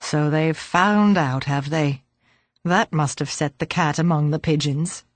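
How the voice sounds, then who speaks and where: A woman doing a creaky, grumbling old man. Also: low voice, unhurried, no room sound, voice pushed down. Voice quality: creaky, growling. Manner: grumbling, gruff. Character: frail grumpy old man, gruff but kindly.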